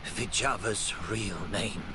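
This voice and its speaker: An actor playing a weary, grave man recovering from illness in a video game, speaking in a measured, solemn way. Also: fairly low pitch, noisy background. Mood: resigned. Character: weary, grave man recovering from poisoning, resigned truth-teller.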